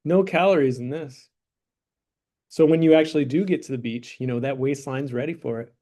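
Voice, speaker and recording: Joking high school math teacher. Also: male voice, brisk, warm tone. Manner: joking, conversational, checks in with "right?" and "okay?". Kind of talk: classroom lesson with a mock sponsor plug. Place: classroom.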